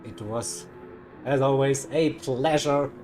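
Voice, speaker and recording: A man commentating a live sim race, hoarse and cracking, upbeat and laughing a lot. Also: mid-range voice, fairly even intonation, slow, over music. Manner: upbeat, laughs often, self-mocking.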